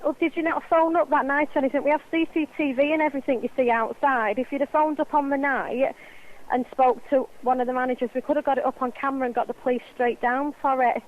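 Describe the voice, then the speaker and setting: A woman's voice: high voice, steady tone, fast. A woman with an English accent handling a complaint on the phone as store staff, explaining insistently and repeating her points. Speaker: supermarket staff taking a complaint call. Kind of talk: customer service phone conversation. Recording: muffled lo-fi phone line.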